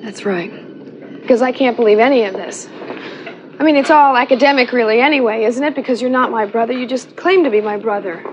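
An actress playing a sharp, distrustful, haughty young heiress in a 1980s television soap drama. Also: female voice, fairly high pitch, a little fast, close to an everyday voice. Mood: accusing, scornful. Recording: muffled TV soap clip, faint noise.

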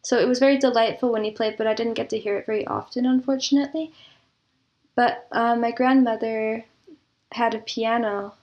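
A woman with a fairly high voice talks as a guest in a casual interview. She reminisces in a thoughtful, measured way, pausing as she puts her answers together. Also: steady tone, a little mellow, dull audio. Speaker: interview guest, composer and audio drama producer.

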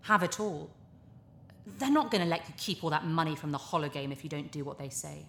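An actress in a sci-fi audio drama playing a glib, cynical, charming TV propaganda presenter, breezy and persuasive. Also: female voice, sits low, brisk, a little hiss or hum. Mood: coaxing, persuasive. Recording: roomy, echoing sound.

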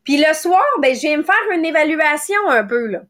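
A woman in her late thirties with a Quebec French accent hosts a motivational morning podcast. Her voice sits high, and her talk runs quick and conversational, with little quoted thoughts played out as she goes. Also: expressive. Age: late 30s. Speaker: podcast host.

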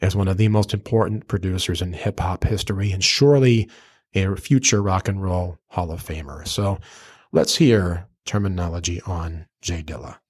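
A man with a low voice hosts a casual hip-hop interview show. He talks earnestly and effusively, dropping slang as he goes. The recording is dry and close, with very little room sound. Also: moderate pace, darkish tone, slightly rough, no echo. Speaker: podcast and radio host.

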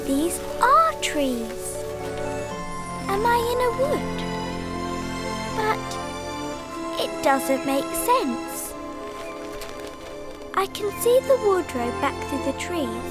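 A woman's voice: very high voice, big pitch swings, extremely slow, pauses often, heavily acted. A woman playing a curious young girl in a fantasy radio drama, wondering aloud in short questions. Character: curious young girl stepping into a magical wood. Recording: music under the voice.

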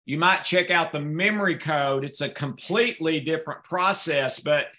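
Bright-voiced man hosting a live chat. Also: fairly high pitch, moderate pace. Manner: repeats words when starting sentences, earnest. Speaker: author and host.

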